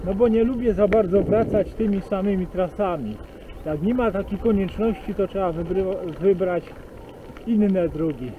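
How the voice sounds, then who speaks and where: A man with a Silesian accent chatting casually and slowly while cycling outdoors on country roads, recorded on a bike camera. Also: high voice, narrow, tinny audio, background noise. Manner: slow, rambling, relaxed chat with pauses. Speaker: cycling vlogger. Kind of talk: casual spoken commentary while riding. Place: outdoors on a bike on rural roads and forest tracks.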